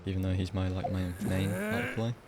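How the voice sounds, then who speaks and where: A man's voice: low-pitched, huge pitch swings, fast, heavy background noise. A man doing casual live gameplay commentary, musing aloud to himself as he streams. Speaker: game livestreamer. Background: game music.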